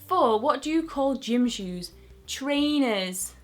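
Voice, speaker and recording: A young woman with a broad Northern English accent talks straight to her viewers. Her voice sits fairly high, and she rambles in a chatty, self-mocking way. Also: animated, slow pace. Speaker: beauty vlogger. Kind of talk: casual chat to camera.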